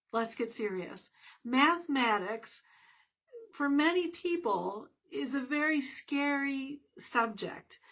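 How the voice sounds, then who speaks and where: A woman's voice: high voice, fairly bright tone. A woman and former math teacher giving an earnest, encouraging motivational talk to her viewers, with playful asides.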